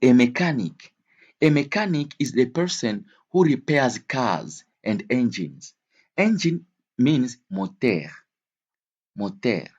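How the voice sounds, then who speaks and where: A man gives a vocabulary lesson in a somewhat husky, mid-pitched voice. He explains terms with simple examples and repeats key words. The recording sounds slightly dull and lo-fi. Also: big pitch swings. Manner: didactic, repeats key words slowly and clearly; switches between English, Swahili and French. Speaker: English teacher.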